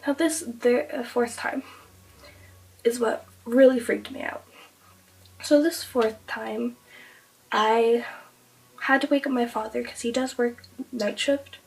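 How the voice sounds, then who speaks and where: A young woman with a fairly high voice talks casually to camera, recounting an eerie experience full of fillers. She is sick and her voice is hoarse and fading. She sits a bit back from the microphone. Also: fairly even intonation, slow pace, pauses often, far from the mic, low-quality recording. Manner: chatty, breathless retelling with many fillers. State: hoarse, losing her voice from illness. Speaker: vlogger.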